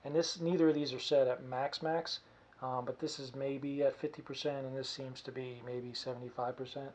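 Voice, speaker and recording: A man casually and haltingly explaining gear in a demo, recorded by a camera mic in a small room. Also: mid-range voice, level tone, darkish tone. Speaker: costume hobbyist demonstrating gear. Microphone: camera mic from a short distance.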